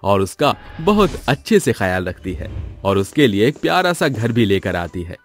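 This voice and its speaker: A man's voice: lowish voice, big pitch swings, moderate pace, soft background music. A man narrating a scripted moral story as the storyteller.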